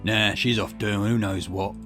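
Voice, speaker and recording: A man's voice: lowish voice, fairly even intonation, moderate pace, voice pushed down, natural voice. A man voicing an easygoing, teasing Cockney man in a visual novel, with a casual manner. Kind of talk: visual novel character reading. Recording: music behind the voice.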